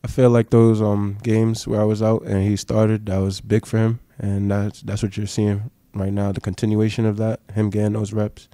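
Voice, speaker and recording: Young male athlete, low dark voice, flat and matter-of-fact, dry close mic. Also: moderate pace, few pauses, no echo. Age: young adult. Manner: brief, matter-of-fact answers. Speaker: college basketball player. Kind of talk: postgame press conference answers. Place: press conference room. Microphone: table mic.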